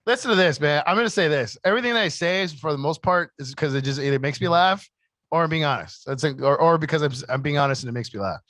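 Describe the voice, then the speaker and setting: Brief: rambling, laughing male podcast co-host, bright and animated, close dry mic. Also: fast, no room sound. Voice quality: high-pitched voice. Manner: laughs a lot, rambling, self-deprecating. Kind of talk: casual chat. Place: radio studio. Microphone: studio mic.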